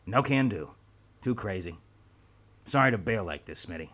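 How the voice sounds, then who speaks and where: Man voicing tired male agent, blunt, clipped wisecracks, low-key delivery. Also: animated, moderate pace, fairly bright tone, husky. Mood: wry.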